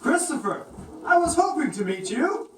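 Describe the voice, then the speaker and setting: A woman playing a gentle, earnest male woodmouse traveler in a children's puppet show, a little hesitant. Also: sits high, very animated, moderate pace. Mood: pleased. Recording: distant echoey room, faint noise.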